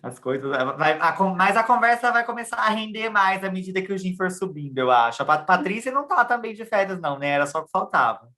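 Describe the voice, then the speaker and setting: A woman's voice: medium pitch, expressive, fast, few pauses, fairly bright tone. A Brazilian woman hosting a podcast with casual, bantering chat. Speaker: podcast host.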